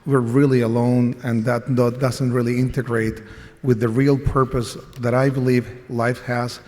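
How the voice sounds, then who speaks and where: Chilean man on a conference panel, earnest and reflective, mid-pitched voice, echoing hall. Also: level tone, moderate pace, darkish tone. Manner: reflective, earnest, with frequent restarts. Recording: long reverb of a conference hall.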